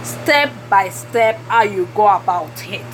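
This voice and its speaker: A Nigerian woman whose first language is Yoruba, talking through a cooking tutorial in a halting way with many fillers. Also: medium pitch, animated, rough recording. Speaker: cooking vlogger. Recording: background noise.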